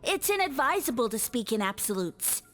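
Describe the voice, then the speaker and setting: A woman's voice: a little high, big pitch swings, moderate pace. A woman voicing a pompous mushroom sage in a video game, speechifying grandly and formally. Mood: grandiose, persuasive. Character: pompous mushroom sage lord demanding worshippers.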